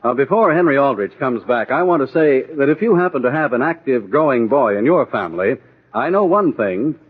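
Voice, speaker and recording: Lively old-time radio announcer, tinny recording. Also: male voice, mid-range voice, brisk, faint music behind. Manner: folksy, enthusiastic salesmanship. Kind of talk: 1940s radio advertising pitch.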